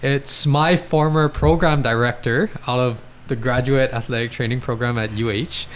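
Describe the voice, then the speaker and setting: A man's voice: mid-range voice, steady tone, normal speed. A man in his thirties hosting a podcast, upbeat and eager, chatting casually with many fillers into a desk mic in a small studio. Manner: upbeat, eager, lots of fillers like "you know" and "right". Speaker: podcast host, athletic trainer. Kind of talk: casual interview chat. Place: small home studio, indoors. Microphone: podcast mic on a desk stand.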